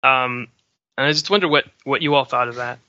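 Male panelist, mid-pitched and sharp, casually analytical and tentative, recorded very dry. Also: fairly quick, no echo, highs cut off. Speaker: podcast panelist.

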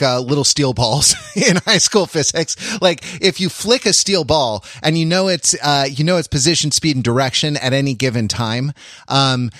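A man of medium pitch chats as a podcast co-host, explaining things informally. His delivery is halting and tends to trail off. The recording is very dry, with no room sound. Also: a bit bright, no echo. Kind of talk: casual chat, explaining.

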